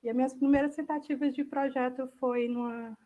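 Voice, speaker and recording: A woman's voice: high-pitched, steady tone, brisk, narrow, tinny audio. A woman with a Brazilian accent giving an informal online talk in a tentative, self-correcting way. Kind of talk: informal presentation. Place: indoors on an online video call.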